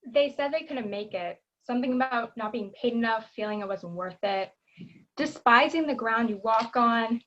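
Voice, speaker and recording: A woman's voice: a little high, moderate pace, fairly bright tone, lightly acted. A young woman playing a blunt, unimpressed radio production assistant in a scripted comedy, with a matter-of-fact delivery. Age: young adult. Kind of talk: scripted comedy stage acting. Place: room at home. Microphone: video call. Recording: dull, lo-fi video call audio.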